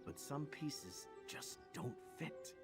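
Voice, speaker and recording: A roguish fantasy game knight, heroic yet wry. He speaks conversationally in a mid-pitched voice over clearly audible music. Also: animated, takes it slowly. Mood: wry, troubled. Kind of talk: scripted game dialogue.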